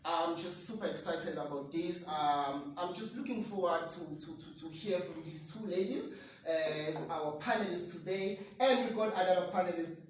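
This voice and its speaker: A South African man with a Zulu-speaking accent, hosting an online talk show in an energetic, hypey way and slipping into Zulu. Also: on the high side, normal speed. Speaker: host. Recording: echoey room, mic at a distance, light noise.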